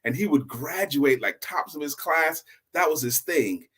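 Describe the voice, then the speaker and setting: A man hosting a story show, telling a tale in a casual, animated, improvised way and repeating phrases for emphasis. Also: on the high side, a little slow, slightly rough.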